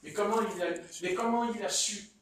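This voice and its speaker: A man with a Marseille French accent preaching a sermon in a church hall, telling stories in a playful, animated way. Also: sits high, moderate pace, slight background noise. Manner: animated, playful storytelling with chuckles. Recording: echoey hall, mic far off.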